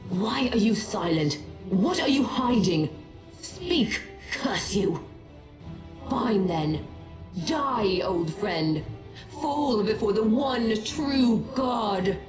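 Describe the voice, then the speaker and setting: Slow, imperious murderous goddess. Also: female voice, medium pitch, expressive. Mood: furious. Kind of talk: theatrical villain game acting. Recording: echoey, faint music behind.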